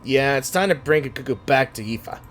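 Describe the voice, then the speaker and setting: A man's voice: medium pitch, lively intonation, fairly quick. A man streaming a game, giving casual playthrough commentary and reading choices aloud. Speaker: gaming streamer. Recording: faint game music behind.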